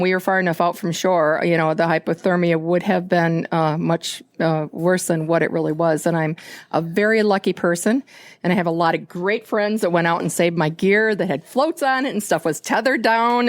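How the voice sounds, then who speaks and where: A woman with an Upper Midwest American accent, a guest on a casual interview show, talking loudly and candidly with self-deprecating humor. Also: on the low side, no echo. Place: outdoors at a fishing camp.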